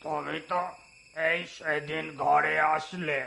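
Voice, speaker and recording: A man voicing an aggrieved, jealous working donkey in a cartoon, whining and complaining in a drawn-out way. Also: fairly high pitch, little pitch movement, gravelly, sharp tone, slight background noise, full character voice. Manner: whiny, complaining, drawn-out delivery. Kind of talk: scripted cartoon voice acting.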